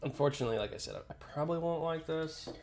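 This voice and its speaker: Brief: young male co-host, stuffy nose, relaxed and joking, swears casually. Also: a little high, quick pace, a little hiss or hum. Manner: laid-back, swears, laughs often. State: congested from a sinus infection. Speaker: podcast co-host. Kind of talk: casual chat over beers.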